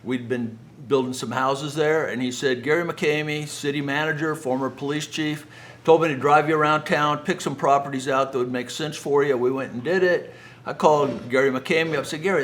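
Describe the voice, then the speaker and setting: A man's voice: medium pitch, a bit bright. Middle-aged man telling folksy stories, noisy recording. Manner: conversational, folksy, frequent quoted dialogue. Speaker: speaker, home builder. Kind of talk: anecdotal business storytelling talk.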